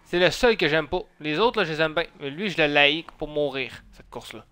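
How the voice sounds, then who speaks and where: A man's voice: fairly high pitch, expressive, brisk, pushed and bright, clean tone, no room sound, soft background music. A man with a Quebec French accent chatting casually while gaming on a live stream, grumbling and swearing freely. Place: indoor room at home.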